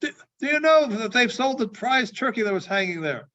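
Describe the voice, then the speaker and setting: A man voicing an excitable, repentant old miser in a storybook reading, exclaiming and stammering as he repeats himself. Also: very high-pitched, brisk, highs cut off, pitched above the performer's own voice, heavily acted. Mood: giddy, excited.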